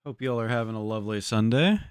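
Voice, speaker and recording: A man's voice: fairly low pitch, quick pace, clear, resonant tone, dry recording, studio quality. A man streaming live and chatting casually with his viewers, laid-back and slangy with free swearing, his voice sounding slightly congested. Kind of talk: casual live-stream chat while gaming.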